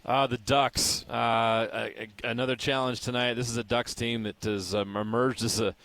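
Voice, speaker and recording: A man's voice: medium pitch, level tone, moderate pace, fairly bright tone, no room sound. An American man hosting a sports radio interview in a conversational style.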